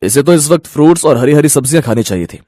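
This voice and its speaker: A man's voice: on the high side, expressive, fast, mellow, dry recording, studio quality. A man voicing a grave doctor who explains seriously in a cartoon family story. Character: grave male hospital doctor, sternly explaining.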